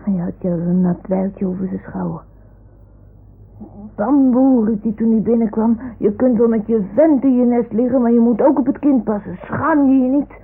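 A woman's voice: a little high, moderate pace, slightly rough. A woman playing an earnest little child who talks tenderly and a bit bossily to toy animals in a radio play. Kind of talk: 1960s-70s radio play acting. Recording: muffled, hissy old radio recording.

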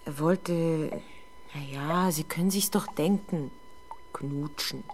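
A young woman playing a frightened, defensive teenage girl under police questioning in a 1970s German radio drama, halting and easily exasperated. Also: deep voice, darkish tone, a little hiss or hum. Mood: embarrassed, afraid. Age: young adult. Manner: hesitant, halting, exasperated when doubted.

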